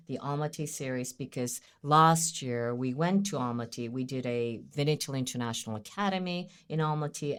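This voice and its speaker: A woman hosting a podcast interview, brisk and playful as she prompts her guest with questions. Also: deep voice, clear, resonant tone. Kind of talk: casual interview chat.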